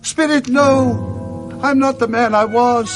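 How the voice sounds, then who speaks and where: A man's voice: very high-pitched, steady tone, slow, music in the background. A man playing an old British miser full of intense, repentant feeling, in a theatrical radio drama. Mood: desperate pleading. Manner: impassioned, theatrical.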